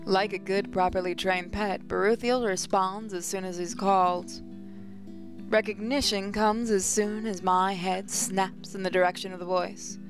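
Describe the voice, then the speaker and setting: A woman's voice: medium pitch, fairly even intonation, unhurried, over music. A woman reading visual novel narration aloud in a reflective, storytelling way. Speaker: gaming channel host, reader.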